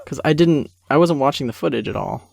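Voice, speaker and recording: A man's voice: medium pitch, expressive, a little fast, creaky. A young man hosting a casual audio commentary, talking in an informal, amused, chatty way. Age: young adult. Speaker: commentary host, series creator. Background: faint music from the episode.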